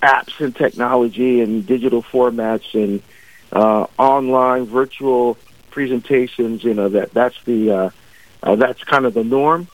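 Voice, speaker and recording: A man's voice: medium pitch, steady tone, measured pace, no room sound. A middle-aged man from Barbados, a deacon calling in to a radio show, speaks in a mid-range voice with a preacher's emphasis. The phone line dulls his voice, and it sounds dry, with no room around it. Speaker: deacon guest. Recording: muffled phone-line interview audio.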